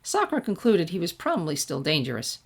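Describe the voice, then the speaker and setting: A woman narrates fiction in a slightly low voice. She reads scripted prose as a storyteller would for an audiobook listener. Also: wildly swooping pitch, fast, vocal fry, slightly rough. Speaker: audiobook-style reader of fan fiction.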